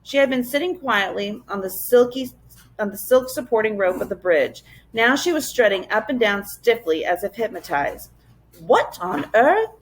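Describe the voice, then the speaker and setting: A woman reading a children's adventure story aloud as a teacher would, in expressive narration. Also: medium pitch, normal speed, slight background noise, poor audio.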